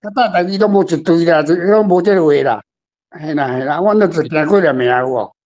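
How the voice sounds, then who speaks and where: A man's voice: on the high side, fairly quick, a little mellow, dull audio. An older man with a Taiwanese accent chatting casually about a story as its author, rambling through anecdotes and repeating phrases. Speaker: author guest discussing their story. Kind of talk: casual literary discussion.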